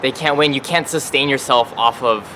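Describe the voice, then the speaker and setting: Male, mid-range, sharp bright tone, earnest unscripted delivery with repeated phrases, slight outdoor traffic behind. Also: mid-range voice, fairly even intonation, normal speed. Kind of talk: informal impromptu speaking.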